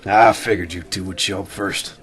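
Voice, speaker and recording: A man's voice: deep voice, fairly even intonation, normal speed, very noisy, natural voice. A man playing a disgruntled ex-staffer in an audio drama, with a dry, sardonic delivery. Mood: dry, resentful.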